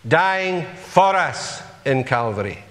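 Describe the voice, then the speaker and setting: A man's voice: a little high, very animated, takes it slowly, a bit bright, long reverb tail. A man preaching a sermon, earnestly putting rhetorical questions to his congregation.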